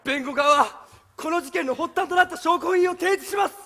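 Determined young attorney, raised high voice, dramatic courtroom stage delivery. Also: male voice, normal speed, bright, forceful tone, long reverb tail, exaggerated voice. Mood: earnest, urgent. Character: earnest young male defense lawyer, dramatic and determined. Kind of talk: theatrical courtroom stage acting. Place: theater stage.

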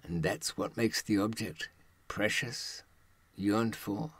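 A man in his seventies giving a reflective monologue, musing haltingly and posing rhetorical questions in a husky voice. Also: fairly low pitch, a bit bright, dry recording. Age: 70s. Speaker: presenter.

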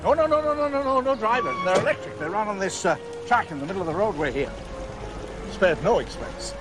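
A man's voice: high voice, expressive, brisk, music in the background. A man playing a genial old park founder. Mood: proud. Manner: genial, grandfatherly, boastful. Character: cheerful elderly male park founder, proud showman.

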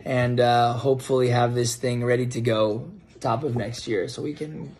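An American man in his thirties with a mid-pitched voice tells a personal story in a casual way. He is animated and halting, often repeating a word as he goes. He is talking to a phone at a table in a home kitchen. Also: crowd noise. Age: 30s. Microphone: phone propped on table at arm's length.

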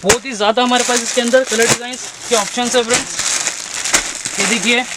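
A young man, a shop owner giving a brisk sales pitch for his goods and addressing viewers as friends again and again. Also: steady tone, heavy background noise. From India. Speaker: shop owner showing products. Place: textile shop, indoors.

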